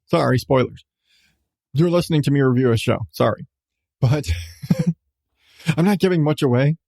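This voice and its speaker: Rambling podcast guy, slightly higher-pitched voice. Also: creaky, darkish tone, no echo, clean studio sound. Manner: rambling, halting, repeats and emphasizes phrases. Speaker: podcast host and reviewer. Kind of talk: casual podcast review monologue.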